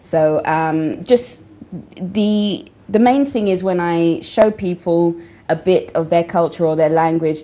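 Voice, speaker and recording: A woman's voice: on the low side, slow pace, mellow. A woman author being interviewed on breakfast television, from Nigeria, speaking articulately and laughing easily. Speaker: guest author.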